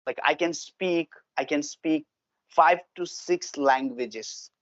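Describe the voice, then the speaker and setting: A man's voice: on the high side, takes it slowly, dry recording. A man from Gujarat with an Indian English accent, his first language Gujarati, chatting earnestly and repeating phrases for emphasis. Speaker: host, English learner. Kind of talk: casual conversation. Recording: dull lo-fi, dry audio.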